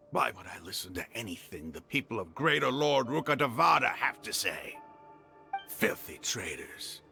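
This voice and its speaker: Scornful, gravelly desert mercenary leader. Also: male voice, mid-range voice, pushed and bright, exaggerated voice. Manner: scornful, grandiose, theatrical. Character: proud, hostile, zealous desert mercenary leader. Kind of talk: dramatic video game voice acting. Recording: background music under the voice.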